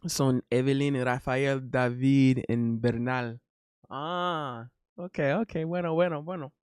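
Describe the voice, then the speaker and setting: A Haitian man speaking Spanish as a learner, chatting casually on a stream, halting and correcting himself often. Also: medium pitch, fairly even intonation, darkish tone, clean tone, no echo, studio quality. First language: not Spanish. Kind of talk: casual chat.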